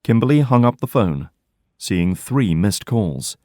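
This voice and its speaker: A man with a fairly low voice narrates an adventure audio drama. His delivery is scripted, deliberate storytelling with a dramatic edge. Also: big pitch swings, darkish tone, no room sound, studio quality. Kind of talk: dramatic scripted narration in present and past tense.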